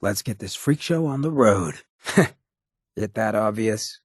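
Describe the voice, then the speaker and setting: A swaggering American ghoul from a video game, irreverent and cocky. The voice is badly shredded and gravelly, sitting low, and recorded very dry. He talks in a wry, streetwise drawl, like a loyal rogue. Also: lively intonation, takes it slowly, no echo, heavily acted. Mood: warm, teasing. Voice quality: raspy, gravelly. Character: roguish drug-loving irradiated ghoul, swaggering, irreverent, loyal. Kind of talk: video game companion dialogue.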